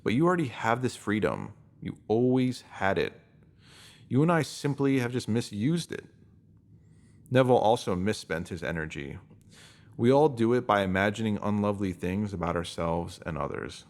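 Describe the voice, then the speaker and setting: Low-voiced man reading self-help. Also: animated, moderate pace. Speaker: reader of written posts. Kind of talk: scripted self-help narration.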